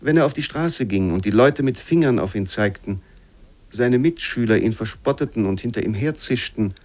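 A male narrator delivers scripted literary prose at a mid-range pitch. His reading is formal and measured, with expressive emphasis suited to a radio feature.

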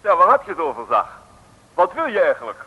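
An actor playing a haughty, blustering gentleman gang boss in an old Dutch radio drama. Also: sits high, wildly swooping pitch, quick pace, breathy edge, full character voice. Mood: indignant. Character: blustering gentlemanly male gang boss, indignant when cornered. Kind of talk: 1950s-60s Dutch radio drama acting. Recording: dull, very echoey old radio recording.

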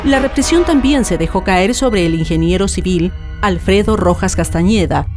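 A woman's voice: mid-range voice, lively intonation, normal speed. A woman reading scripted narration for a historical radio drama. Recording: narration over clear music bed.

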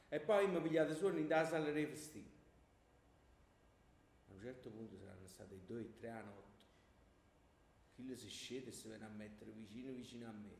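A brash schoolboy joker acted by a man with a southern Italian accent and a mid-pitched male voice. He rambles colloquially and pushes shamelessly, in comic stage style. The room is echoey, with faint noise behind. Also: gravelly, darkish tone, lightly acted.

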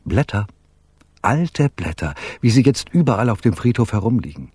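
Husky, animated male schemer. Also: on the low side, moderate pace, no echo, clean studio sound. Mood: sly, gleeful. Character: excitable, greedy male conspirator in a crime comedy. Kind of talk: radio drama acting.

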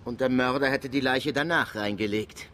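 An actor playing a businesslike, slightly impatient police detective in a German radio crime drama. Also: male voice, medium pitch, normal speed, fairly bright tone, slight background noise, lightly acted. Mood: analytical.

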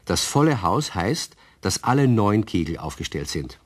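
A man narrating a children's radio play as a calm, explaining storyteller with a husky voice. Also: on the low side, normal speed. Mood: measured, explanatory.

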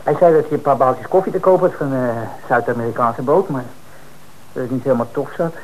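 An actor playing a defensive male suspect under questioning in an old radio play, talking quickly and protesting. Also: medium pitch, close to an everyday voice, low-quality recording. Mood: earnest. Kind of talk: old Dutch radio drama acting.

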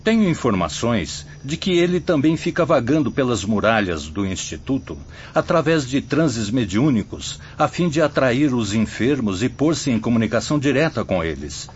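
An actor playing a worried, formal colony official reporting in a radio drama. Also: male voice, medium pitch, very animated, normal speed, few pauses, lightly acted. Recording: dull recording with faint ambient noise.

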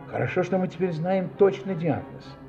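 An actor playing a courteous, methodical inspector in a Russian radio play, gravely mulling things over and repeating phrases. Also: male voice, high-pitched, animated, normal speed. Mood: pondering. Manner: ponderous, repeating phrases as he thinks. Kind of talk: audio play dialogue. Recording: muffled, faint music behind.

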